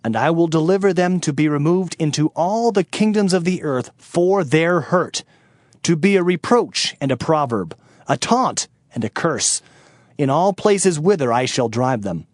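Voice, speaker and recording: Guy acting solemn bible prophet, highish voice, very up and down, declaiming emphatically. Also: lively intonation, measured pace, a little mellow, no room sound, clean studio sound. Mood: grave, denouncing. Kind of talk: dramatized scripture reading.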